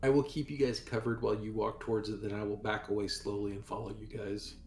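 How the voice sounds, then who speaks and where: A man's voice: lowish voice, little pitch movement, fast, a little mellow, a little hiss or hum. A man speaking casually in character as a player in a tabletop roleplaying game.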